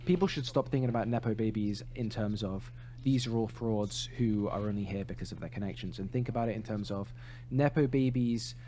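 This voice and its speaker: A man's voice: lowish voice, a little fast, dark tone, a little hiss or hum. A man co-hosting a podcast in casual, opinionated chat, emphatic and prone to swearing. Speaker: podcast co-host.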